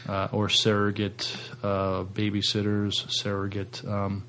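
A man with a fairly low voice and plenty of vocal fry chats as a podcast co-host. He is hesitant and feels his way through each point, often rewording it. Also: completely flat delivery, a little slow, pushed and bright, slight background noise. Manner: hesitant, self-correcting. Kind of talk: casual chat discussion.

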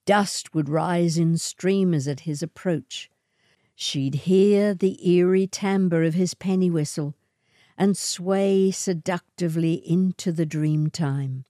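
A woman reading a short story aloud in a deliberate, theatrical storytelling style. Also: on the low side, mellow, dry recording, studio quality. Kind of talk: dramatic scripted storytelling.

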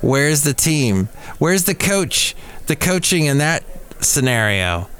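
A man's voice: fairly high pitch, sing-song, slow pace, a bit bright, clean tone. A man hosting a solo podcast in a rambling, digressive way, with playful puns. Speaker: podcast host.

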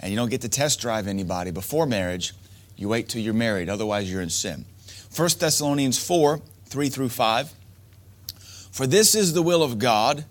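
A man's voice: mid-range voice, lively intonation, moderate pace. A man preaching a sermon to men, emphatic and repetitive, asking rhetorical questions. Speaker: pastor.

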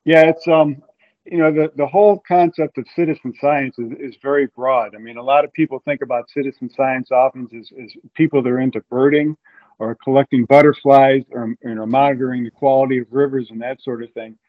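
A man's voice: mid-range voice, fairly even intonation, a little fast. Man explaining radio science, dull audio. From Ohio, USA. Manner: explanatory, with frequent restarts. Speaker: guest. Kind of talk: interview explanation.

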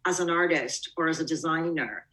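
Woman from Bulgaria, interview guest, lowish voice, mic at some distance, thoughtful restarts. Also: brisk, fairly bright tone. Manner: reflective, many restarts and "I mean". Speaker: production designer guest. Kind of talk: conversational interview.

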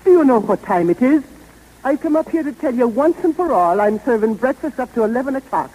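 An actress playing a bossy, nagging boarding-house landlady in a 1930s radio comedy, with a shrill delivery. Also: animated, a little fast, mellow, slightly rough, low-quality recording. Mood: scolding.

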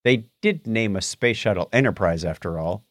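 A man's voice: lowish voice, lively intonation, normal speed, no echo, studio quality. A man co-hosting a podcast, bantering casually and reading out segments in a playful, theatrical way. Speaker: podcast co-host.

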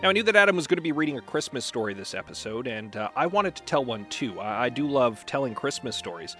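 A man hosting a podcast, narrating in a wry, deliberate storytelling way. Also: medium pitch, big pitch swings, music in the background. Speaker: podcast host.

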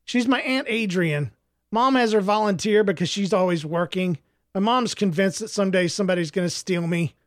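A man's voice: sits high, normal speed, no room sound, clean studio sound, close to an everyday voice. A man voicing a short, wild young schoolboy in an audiobook story, sarcastic and wisecracking, with a bit of a whine. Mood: matter-of-fact. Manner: sarcastic, joking.

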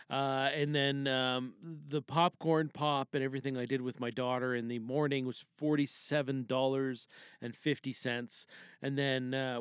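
A middle-aged man talks loosely and casually into a podcast, audibly tipsy and wandering as he goes. His voice is smooth and clear at an ordinary pitch, recorded dry and close with very little room sound. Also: no room sound. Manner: rambling, halting, loose. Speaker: podcast contributor. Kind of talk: unscripted casual monologue.

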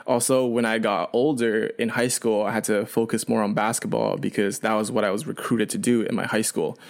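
A man in his twenties, a native Chinese speaker raised in Canada, telling his story as a podcast guest in a relaxed, rambling way. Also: on the low side, a little fast, creaky. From China, raised in Canada. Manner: relaxed, rambling, frequent fillers and restarts. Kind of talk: casual storytelling.

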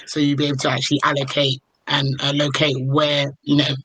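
A man speaking as a company founder, giving a business pitch on an online panel. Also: completely flat delivery, fairly quick, fairly bright tone, rough recording. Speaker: company founder and chief executive.

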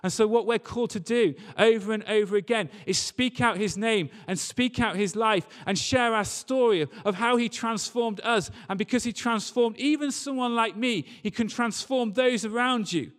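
A man's voice: sits high, moderate pace, hardly any pauses. A man preaching a church sermon in an earnest, emphatic way, repeating key phrases. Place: large reverberant church or hall. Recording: long hall reverb.

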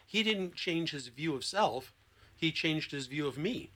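A man who works as a therapist, talking conversationally as a podcast guest and telling anecdotes in an expressive, emphatic way. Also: fairly high pitch, measured pace. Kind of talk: conversational explanation with anecdotes and acted-out quotes.

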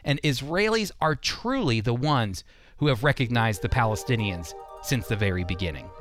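A man's voice: medium pitch, lively intonation, normal speed, loud music behind the voice. A man hosting a radio program, delivering scripted Bible teaching and commentary.

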